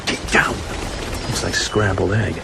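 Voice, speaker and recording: An actor playing a tough, grimly determined British spy in a radio drama, speaking tersely and urgently. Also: male voice, low-pitched, level tone, a little slow, creaky, very noisy. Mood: tense, urgent.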